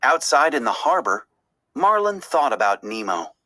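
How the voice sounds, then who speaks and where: A woman narrating a children's story aloud, expressively, with deliberate, dramatic phrasing. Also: very deep voice, very animated, fairly bright tone.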